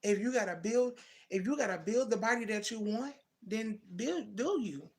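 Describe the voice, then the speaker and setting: A woman's voice: a little high, expressive, normal speed, darkish tone. A woman in her thirties hosting a casual livestream, talking straight to her viewers and answering their comments, calling them baby and twin. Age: 30s. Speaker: livestream host. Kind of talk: casual live chat with viewers.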